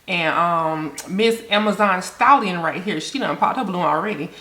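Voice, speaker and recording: A woman's voice: on the low side, moderate pace, bright, forceful tone. Chatty woman reacting in an echoey room. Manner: chatty, talks straight to viewers. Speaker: reaction video host. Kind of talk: casual reaction commentary.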